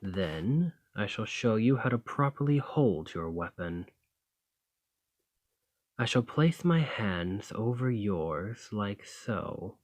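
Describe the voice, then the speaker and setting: A man's voice: lowish voice, lively intonation, slow pace, darkish tone, no room sound. A man playing a dominant, haughty fantasy thane in an ASMR roleplay, commanding and formal with a condescending, teasing edge. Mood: instructive, teasing. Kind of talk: ASMR roleplay acting, slow and intimate.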